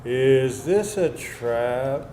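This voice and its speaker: Man acting a sheepish, animated boyfriend. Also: mid-range voice, drawn-out words. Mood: breathless, puzzled. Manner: hesitant, stammering. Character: sheepish, defensive man coaxing his lover. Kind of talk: live screenplay table read, comedic. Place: indoor venue, live reading. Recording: echoey room, faint noise.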